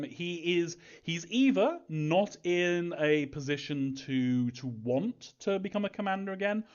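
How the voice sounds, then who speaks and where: A man's voice: a little high, darkish tone. A man answering fan questions casually as a game developer, reading them aloud and replying in a hesitant, chuckling, evasive way. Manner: hesitant, chuckling, evasive about story details. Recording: dull, lo-fi audio.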